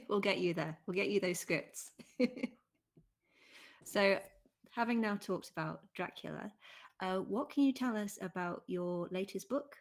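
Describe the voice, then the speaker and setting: A woman's voice: medium pitch, takes it slowly, long pauses. A woman hosting a casual interview, chatty and encouraging as she puts questions to her guest. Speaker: interviewer, host. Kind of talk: casual, informal interview chat.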